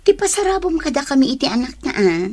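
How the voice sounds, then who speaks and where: An actress playing a patient, long-suffering wife and mother in a radio drama, with a husky voice and an Ilocano accent. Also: high-pitched, sing-song, quick pace, darkish tone. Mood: pleading.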